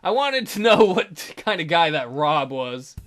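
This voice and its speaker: A woman's voice: deep voice, sing-song, measured pace. A woman let's play host reading visual novel narration aloud with irreverent, joking asides. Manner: irreverent, jokey. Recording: music behind the voice.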